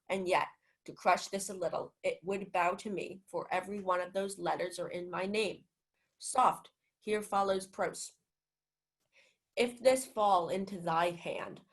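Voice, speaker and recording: A young woman acting a pompous, gullible male steward in a Shakespeare comedy radio play, theatrical and self-important. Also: lowish voice. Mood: puzzled, eager. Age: young adult. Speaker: student actor.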